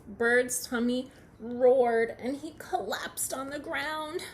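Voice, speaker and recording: A woman teacher reading a picture book aloud to young children, expressive and questioning. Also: high-pitched, slight background noise. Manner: expressive, pauses to question the listener.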